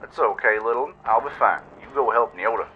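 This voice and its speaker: A man voicing a large, gentle, protective male dog creature, soothing and folksy, in a radio play. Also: mid-range voice, fairly quick, narrow, tinny audio, slight background noise, voice pushed up, lightly acted. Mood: reassuring, tender.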